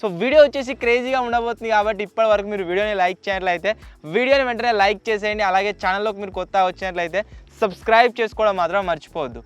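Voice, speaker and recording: A man's voice: high voice, very fast, clear, resonant tone, no room sound, faint music behind. A man with a Telugu accent hosting a casual unboxing vlog, chatty and explanatory, mixing in English words. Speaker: YouTube host.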